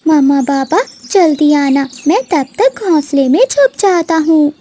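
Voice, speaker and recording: A woman's voice: high voice, animated, a little slow, warm tone. A woman voicing a sweet, chatty newly hatched bird child in a cartoon, childlike and pleading. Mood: eager.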